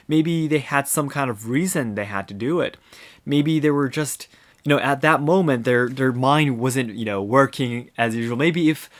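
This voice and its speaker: A man touched by English, hosting his own podcast and talking through his views in an earnest, thoughtful way, many examples and a frequent "you know". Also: medium pitch. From Japan. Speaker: podcast host. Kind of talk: solo podcast reflection.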